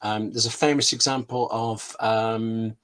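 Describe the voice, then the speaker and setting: A man's voice: on the low side, flat delivery, a little slow, a bit bright. A man being interviewed as a scriptwriter on an online call, explaining with examples and anecdotes in a candid way, often interrupting himself. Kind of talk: explanatory webinar chat with examples.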